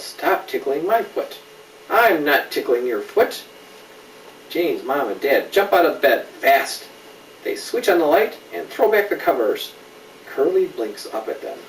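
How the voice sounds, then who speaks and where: A middle-aged man reading a children's story aloud in an animated way, with conversational questions to a young listener. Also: mid-range voice. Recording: roomy, distant home recording with noise.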